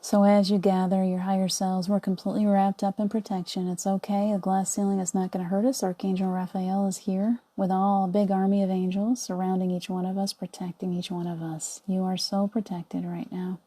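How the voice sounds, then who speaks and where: A middle-aged woman leading a guided visualization meditation in a soothing, coaxing way, often dropping to a soft whisper. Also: mid-range voice, little pitch movement, a little fast, hardly any pauses.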